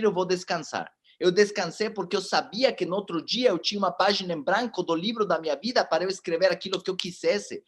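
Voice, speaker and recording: A man in his forties, not a native speaker of the language, gives a motivational talk. He tells his story with animation and speaks directly to his audience. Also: high voice. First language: not Portuguese.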